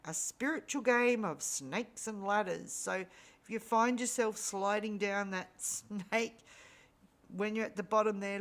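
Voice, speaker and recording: Slow, slightly raspy woman giving a spiritual reading. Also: mid-range voice. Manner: unhurried, gentle, frequent ums. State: recovering from lost voice. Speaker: spiritual reader. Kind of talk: monthly spiritual energy reading.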